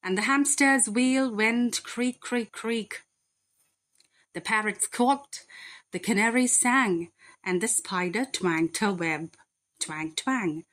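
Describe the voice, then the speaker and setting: A woman reading a rhyming children's bedtime story aloud in a deliberate, expressive, dramatic way. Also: mid-range voice.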